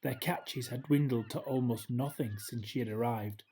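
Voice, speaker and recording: A man reading a children's story aloud in a gentle, expressive way, with hushed dramatic drops. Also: medium pitch, normal speed. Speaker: storybook reader. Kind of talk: scripted children's story narration, read aloud.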